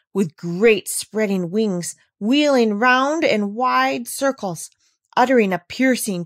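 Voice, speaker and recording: A woman reads a classic children's novel aloud at a steady, expressive pace. Her voice sits in a middle register. The recording is dry and close, with no room sound. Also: mid-range voice, no echo. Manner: measured, expressive reading. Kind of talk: storybook reading aloud.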